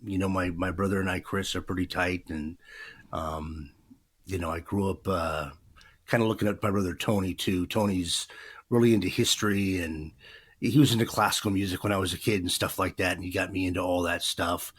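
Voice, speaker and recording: A man's voice: sits low, steady tone, a little fast, husky. A man in his fifties, a former radio DJ, chatting in an interview in a rambling way with many fillers. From Pacific Northwest, USA. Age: 50s. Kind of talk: casual interview chat.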